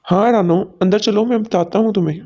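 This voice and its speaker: A cartoon husband with a mellow, mid-pitched voice, calm and soothing, as a woman acts him out. He keeps the peace at home. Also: fairly quick, muffled recording, close to an everyday voice. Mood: explaining. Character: mild-mannered young husband, placating.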